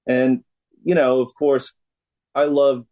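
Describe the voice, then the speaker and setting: A man's voice: medium pitch, little pitch movement, slow, dark tone. A middle-aged American man, a musician chatting in a laid-back, plain-spoken way as an interview guest. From United States. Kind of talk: casual interview conversation.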